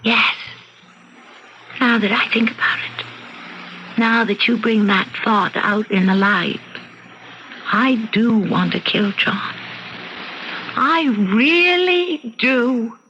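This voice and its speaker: Bright-voiced, defiant housewife. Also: female voice, a little high, lively intonation, takes it slowly, pauses often, full character voice. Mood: coldly resolved. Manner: argumentative, self-justifying. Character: bored, defiant housewife confessing murderous wishes to her psychiatrist. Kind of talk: old-time radio mystery acting. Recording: muffled vintage radio recording with noise.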